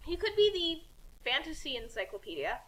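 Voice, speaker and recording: Female story reader, high-pitched and swooping, animated comic narration. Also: fairly quick, rough recording. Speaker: reader of fan fiction.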